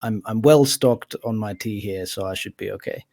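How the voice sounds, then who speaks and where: A man's voice: lowish voice, normal speed, dark tone, dry recording, studio quality. A middle-aged man with a cold and a nasal voice, explaining his legal work on a community call in a thoughtful, hesitant way. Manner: thoughtful, hesitant with frequent fillers and restarts. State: sick with a cold. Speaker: lawyer for a crypto organization.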